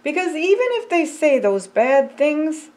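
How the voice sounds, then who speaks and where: Older female storyteller, unhurried and expressive, pitched a little high, children's storytime read. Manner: slow, deliberate, expressive storytelling. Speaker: pastor reading a children's story. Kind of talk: picture-book storytime reading. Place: indoors by a fireplace.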